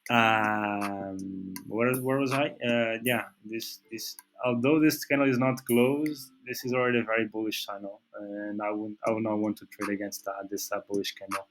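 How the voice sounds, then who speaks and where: Casting note: Portuguese-accented male market analyst, lowish voice, halting delivery full of "uh"s. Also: steady tone, a bit bright, slight background noise. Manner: hesitant, many fillers and restarts. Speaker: trading channel host. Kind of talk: screen-share market analysis.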